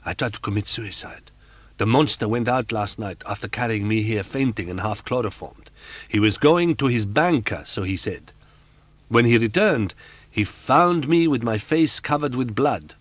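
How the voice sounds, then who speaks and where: A man's voice: mid-range voice, animated, normal speed, dry recording, voice pushed up, natural voice. A man reading an audiobook, voicing a frightened young woman held captive, speaking in a strained, pleading way. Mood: despairing.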